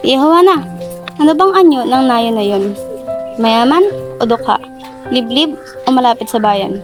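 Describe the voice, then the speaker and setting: A woman's voice: fairly high pitch, lively intonation, moderate pace, natural voice. A woman acting a motherly aunt travelling home in a radio drama. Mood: curious. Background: loud music.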